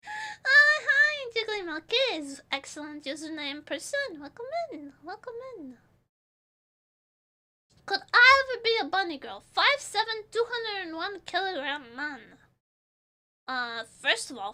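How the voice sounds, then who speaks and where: Giggly streamer girl, high bright voice bouncing all over, rambling at chat. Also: very animated, measured pace. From Portugal. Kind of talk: casual chat reading live chat.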